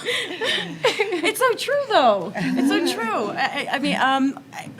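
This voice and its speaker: A woman filmmaker chatting in a casual roundtable discussion, halting and self-deprecating, given to giggling. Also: high-pitched, sing-song, a crowd in the background. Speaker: panelist, filmmaker.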